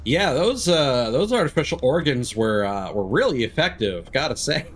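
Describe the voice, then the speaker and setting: A man's voice: medium pitch, big pitch swings, normal speed. A man giving casual, opinionated, sweary commentary as he streams a game live.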